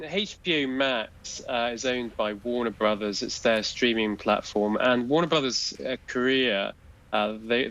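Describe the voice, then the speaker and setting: A man's voice: medium pitch, a bit bright, muffled recording. Hesitant man full of fillers. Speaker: film industry guest commentator. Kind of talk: live studio interview discussion.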